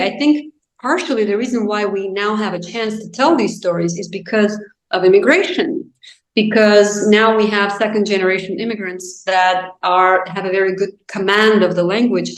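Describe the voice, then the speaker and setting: Reflective woman in a roomy space. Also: mid-range voice. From Azerbaijan. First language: Azerbaijani. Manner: reflective, thinks aloud with many "you know" and "like". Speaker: guest author. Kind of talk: casual interview chat. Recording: roomy echo.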